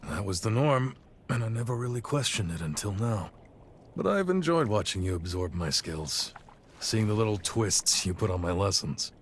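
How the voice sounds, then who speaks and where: An actor playing an easygoing middle-aged warrior captain and encouraging mentor in a video game cutscene. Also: male voice, fairly low pitch, lively intonation, moderate pace, a little hiss or hum, lightly acted. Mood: reflective.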